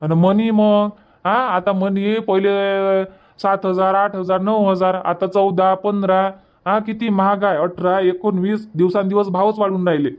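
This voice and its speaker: A man's voice: high voice, flat delivery, a little slow, clean tone. A man voicing a worried young village son in a cartoon, questioning and fretful, on dull lo-fi audio. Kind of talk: Marathi cartoon comedy dubbing.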